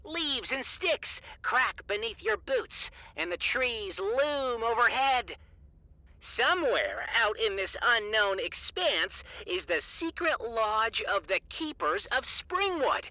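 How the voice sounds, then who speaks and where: A woman acting as game master in a comedy audio drama, narrating a tabletop game in a slow, drawn-out descriptive way. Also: on the high side, big pitch swings, pushed and bright, dry recording, full character voice, low-quality recording.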